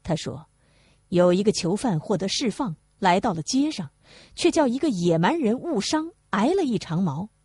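A woman's voice: medium pitch, big pitch swings, normal speed, a little mellow, slightly rough, no echo, studio quality. A woman reading a novel aloud as scripted audiobook narration.

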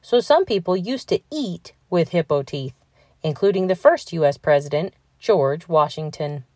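Female children's read-aloud narrator, lower-pitched, lively intonation, clear enunciation, dry close sound. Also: normal speed, dry recording. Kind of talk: scripted storybook narration for children.

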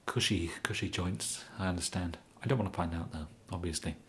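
A young man with an English accent in a soft-spoken ASMR comedy roleplay, hesitant and mumbling, as a bumbling, polite amateur kidnapper. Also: sits low, a little fast, slight background noise. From England. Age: young adult. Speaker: ASMR performer.

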